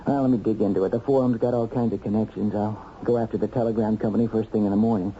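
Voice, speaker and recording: An American actor playing a dogged, wry investigator in a radio mystery drama, probing and emphatic. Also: male voice, lowish voice, fairly even intonation, quick pace, natural voice. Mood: confident, wry. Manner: probing, hesitant lead-ins, emphatic deductions. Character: dogged, wry male investigator cracking a murder case. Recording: dull, noisy old radio recording.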